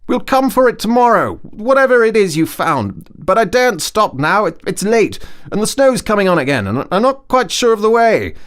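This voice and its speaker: Cheery storybook water rat, loud and hearty, as a male reader puts him on. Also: high voice, sing-song, pitched above the performer's own voice. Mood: hurried. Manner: bright, hearty and brisk.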